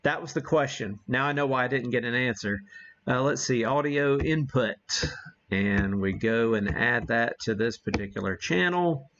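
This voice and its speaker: A man's voice: medium pitch, moderate pace, fairly bright tone, highs cut off. A man hosting a ham radio livestream, chatting casually in a rambling, self-deprecating way as he demonstrates. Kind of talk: casual live-stream chat and demonstration.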